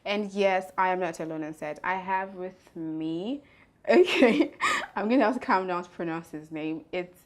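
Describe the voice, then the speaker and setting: A woman's voice: fairly low pitch, normal speed. A woman hosting an interview on a studio set, giggling often between her questions. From Nigeria. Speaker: host. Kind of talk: casual interview chat.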